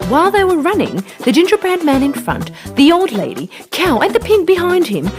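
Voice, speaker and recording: Music plays behind a woman storyteller with a high voice. She reads a children's tale in a scripted narration, her pitch rising and falling very widely. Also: wildly swooping pitch, a little fast.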